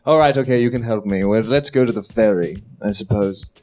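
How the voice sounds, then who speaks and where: A man's voice: lowish voice, a little fast, a little mellow, lightly acted. A man voicing an awkward, courteous and reluctant young romantic adventurer in a live tabletop game. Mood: resigned. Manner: courteous, hesitant. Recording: music under a live stage recording.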